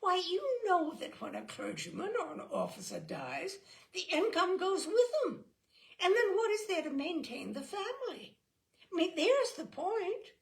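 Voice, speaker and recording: A woman voicing a cheerful young girl who eagerly plays matchmaker, with bright, emphatic exclamations. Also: very high-pitched, sing-song, a little slow, vocal fry, voice pushed up, heavily acted.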